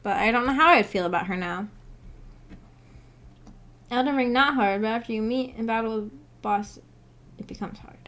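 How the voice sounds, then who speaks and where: A woman's voice: a little high, normal speed, long pauses, a bit bright. A woman hosting a casual livestream, reading out and answering viewer comments in a giggly, hesitant, self-effacing way. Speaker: livestream host.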